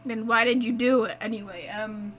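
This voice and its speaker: A woman's voice: fairly high pitch, fairly even intonation, moderate pace. A woman streaming a game live, reacting casually in an informal, giggly, chatty way.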